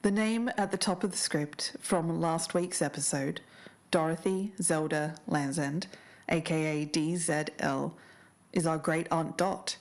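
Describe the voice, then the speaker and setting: A woman's voice: deep voice, steady tone, measured pace. A woman co-hosting a podcast, moving between scripted narration and casual chat. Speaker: podcast co-host.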